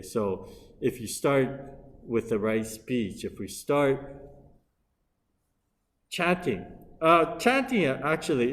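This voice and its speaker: A middle-aged man delivers a Buddhist dharma talk at a mid-range pitch, unhurried and hesitant, often pausing and restarting his sentences. His voice carries a long reverb from a temple hall. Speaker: Buddhist minister. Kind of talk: dharma lecture.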